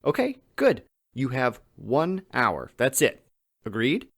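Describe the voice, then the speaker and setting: An actor playing a cautious, soft-hearted male minder in an audio drama. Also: medium pitch, huge pitch swings, slow, creaky, heavily acted. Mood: reluctantly agreeing. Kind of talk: naturalistic audio drama acting.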